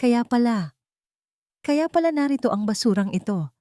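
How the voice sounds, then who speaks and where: A woman's voice: on the high side, expressive, normal speed, warm tone, no room sound, voice pushed up, lightly acted. Scheming young female rival, played by a woman reader: mocking, greedy, imperious, melodramatic audiobook delivery, dry sound. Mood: menacing glee. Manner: sneering, demanding, theatrical.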